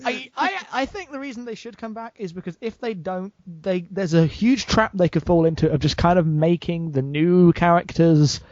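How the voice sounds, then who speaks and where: A woman's voice: lowish voice, moderate pace, hardly any pauses, a little mellow, no room sound. A woman chatting casually on a group podcast in an emphatic, opinionated way. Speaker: podcast panelist. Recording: dull lo-fi close audio.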